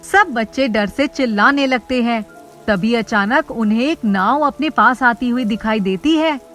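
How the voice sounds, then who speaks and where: A woman with a fairly high voice narrates a scripted children's story. She reads as the storyteller, with music playing behind her. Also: measured pace, fairly bright tone.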